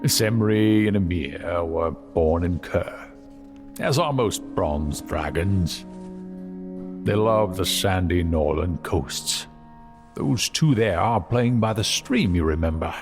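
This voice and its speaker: A man playing a wise old male dragon mentor in an audio drama, speaking in a measured, fond, halting way. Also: low voice, music in the background. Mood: fondly nostalgic.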